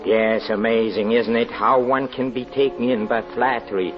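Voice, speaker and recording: A man acting in an old radio drama as a trusting carnival magician who haltingly tells of his own undoing, repeating words as he goes. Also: medium pitch, fairly even intonation, lightly acted. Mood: haunted, rueful. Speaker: narrator and lead actor. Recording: dull old radio recording, music behind.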